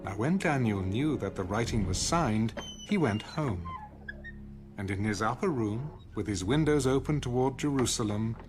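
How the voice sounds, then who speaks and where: A man giving solemn, deliberate scripted narration of scripture in a dramatized audio style. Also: medium pitch, animated, over music.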